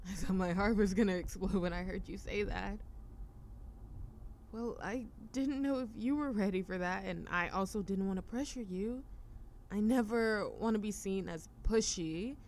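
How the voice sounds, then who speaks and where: A woman's voice: mid-range voice, darkish tone, close to an everyday voice. A woman acting a nervous, hesitant young girlfriend. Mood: anxious, confessional. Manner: hesitant, stammering, halting. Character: nervous, shy, affectionate young girlfriend who overthinks everything. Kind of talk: intimate roleplay audio drama. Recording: faint ambient noise behind voice.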